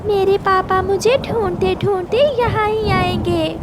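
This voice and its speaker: A woman voicing a gentle, kind little girl bird in a cartoon, with an earnest, plaintive childlike delivery. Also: very high voice, fairly even intonation, a little slow, very noisy, voice pushed up.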